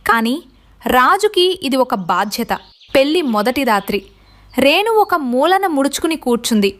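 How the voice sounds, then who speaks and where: A woman's voice: high voice, sing-song, normal speed. A woman giving scripted narration as the storyteller of a tale.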